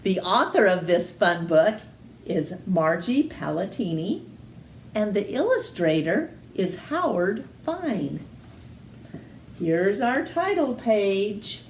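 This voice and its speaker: A woman reading a picture book aloud to children in an expressive storytelling manner. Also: medium pitch, takes it slowly, slight background noise. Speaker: storytime reader. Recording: echoey room.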